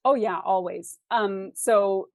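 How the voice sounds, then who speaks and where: A woman's voice: mid-range voice, fairly even intonation, slow pace, darkish tone, no echo, clean studio sound. An American woman from the West Coast explains her craft conversationally as an interview guest, thoughtfully posing questions as she goes. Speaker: interview guest, fiction author.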